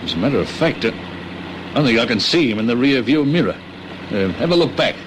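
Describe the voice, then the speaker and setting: An actor playing a calm, steady getaway driver in a radio crime drama, laconic and matter-of-fact, with an Australian accent. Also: male voice, mid-range voice, brisk, background noise, natural voice.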